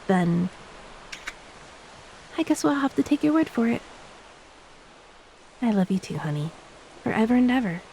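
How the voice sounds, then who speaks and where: A woman playing a gentle, playful ex-mermaid wife in a romantic audio roleplay, speaking tenderly and teasingly to a beloved spouse. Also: a little high, creaky, rough recording. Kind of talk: romantic audio roleplay, intimate scripted acting. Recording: steady hiss behind the voice.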